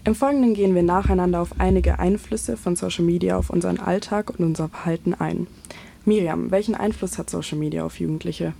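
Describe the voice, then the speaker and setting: Low gravelly young woman presenter. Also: steady tone, brisk, mellow, slight background noise. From Germany. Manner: reads from script, poses questions. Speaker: student radio presenter. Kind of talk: scripted moderation.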